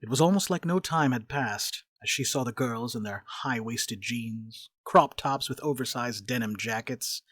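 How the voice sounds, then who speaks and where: A man narrating a dramatic reading as a storyteller, with expressive, scripted delivery. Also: medium pitch, normal speed, hardly any pauses, no echo, studio quality.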